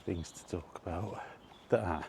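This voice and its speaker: Slow, low-voiced man rambling casually. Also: vocal fry, a little mellow, slight background noise. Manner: halting, rambling delivery in short phrases. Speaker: video blogger. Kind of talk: casual walk-and-talk opinion monologue. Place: outdoors, walking.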